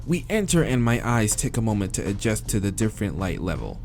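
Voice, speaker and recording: A man's voice: fairly low pitch, sing-song, a little fast, vocal fry, a little mellow, soft background music. A man reading a visual novel aloud as dramatic narration, with casual, exasperated asides.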